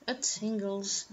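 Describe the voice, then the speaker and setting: A young woman murmuring to herself slowly in an in-character roleplay vlog, recorded on a webcam in a small room. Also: on the high side, breathy edge. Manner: slow, murmuring self-talk with frequent laughs. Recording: dull lo-fi webcam audio with loud noise.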